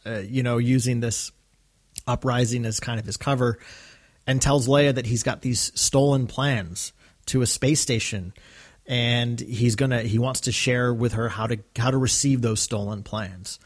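A man co-hosting a casual discussion podcast, talking in a halting, enthusiastic fan's way. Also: mid-range voice, fairly even intonation. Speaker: podcast co-host.

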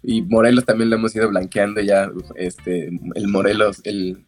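A man's voice: fairly low pitch, flat delivery, moderate pace, soft background music. A man, an author answering interview questions in a frank, opinionated way. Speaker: author and guest.

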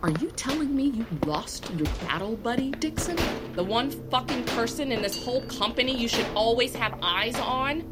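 An actress playing a tough, foul-mouthed army drill sergeant in an audio drama, curt and interrogating. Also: female voice, on the high side, lively intonation, moderate pace, few pauses, over loud music, natural voice. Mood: angry, berating. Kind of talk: scripted audio drama acting.

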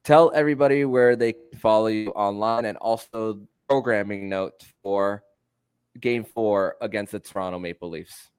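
A man's voice: fairly low pitch, normal speed, darkish tone, clean tone. A man hosting a sports podcast, presenting in a conversational way as he addresses a guest or the listeners. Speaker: podcast host.

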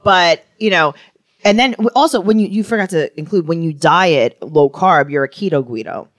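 A woman's voice: fairly low pitch, expressive, moderate pace, dry recording, studio quality. A woman hosting a podcast, teasing a guest and telling stories in casual chat. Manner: teasing, storytelling. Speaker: podcast host.

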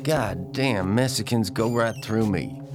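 Slow, low, shifty captive villain. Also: male voice, music in the background. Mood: grumbling. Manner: hesitant, evasive. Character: shifty, crude, fat male villain caught as hostage. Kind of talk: radio horror drama acting.

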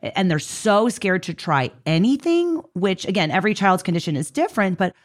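A woman interviewing in an informal, conversational way, animated and encouraging. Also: mid-range voice, normal speed. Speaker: podcast interviewer.

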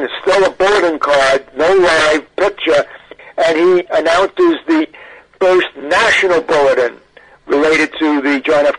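A mature man speaking as an interview guest, in a halting, reflective, explanatory way. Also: a little high, fairly bright tone, a little hiss or hum, rough recording. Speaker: audio archivist guest. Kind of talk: interview recollection.

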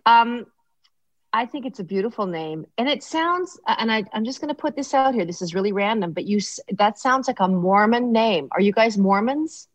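A woman's voice: a little high, animated, normal speed, no room sound, poor audio. A woman hosting an interview, chatting and rambling into stories with her guest. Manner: chatty, warm-hearted, rambling storyteller. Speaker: podcast host. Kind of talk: casual interview chat.

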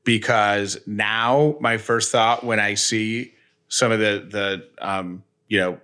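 Bright, forceful low-voiced man hosting radio. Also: fairly even intonation, takes it slowly, smooth. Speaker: radio show co-host. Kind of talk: casual radio banter and host-read ads.

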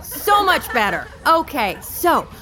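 A woman's voice: sits high, very animated, takes it slowly, bright, forceful tone, slight background noise, exaggerated voice. A woman playing a peppy, eager corporate tour guide in an audio drama, gushing and coaxing a group of school kids. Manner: gushing, coaxing, exaggerated enthusiasm. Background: music.

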